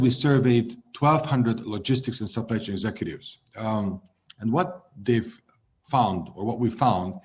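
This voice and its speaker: A man in his fifties, born in Hungary, answering interview questions as a logistics executive in a measured way that trails off at the ends of phrases. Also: medium pitch, a little mellow.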